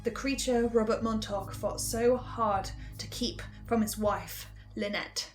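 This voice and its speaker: A woman with a fairly high voice hosts a dramatic, investigative recap. She is pensive and leaves deliberate pauses, with music playing underneath. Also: fairly even intonation. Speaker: host of a fan recap series.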